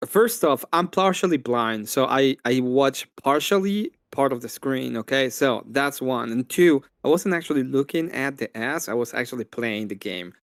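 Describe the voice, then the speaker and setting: A man's voice: mid-range voice, animated, normal speed, hardly any pauses, no room sound, studio quality. A man chatting casually with friends on a stream, defensive and protesting. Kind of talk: casual chat.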